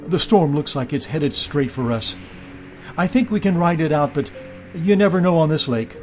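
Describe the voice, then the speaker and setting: A concerned fisherman acted by a male storyteller talks earnestly with his crewmates. The voice sits fairly high, conversational and a little hesitant, in a Bible tale with faint music. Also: lively intonation, normal speed, a little mellow, close to an everyday voice. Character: worried, considerate fisherman disciple talking with his crewmates.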